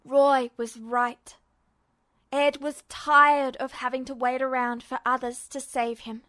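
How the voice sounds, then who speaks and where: A woman reading fan fiction aloud as scripted narration, with dramatic, expressive storytelling. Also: high voice, takes it slowly. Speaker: amateur audiobook narrator.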